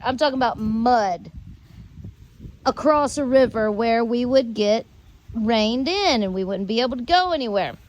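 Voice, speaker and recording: An American woman telling rambling personal stories in drawn-out, halting phrases with long pauses. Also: sits high, low-quality recording. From United States. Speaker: storyteller host.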